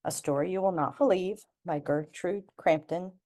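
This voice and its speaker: A woman reading a children's storybook aloud expressively, with deliberate emphasis on repeated words and lists. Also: lowish voice, mellow. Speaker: storybook reader.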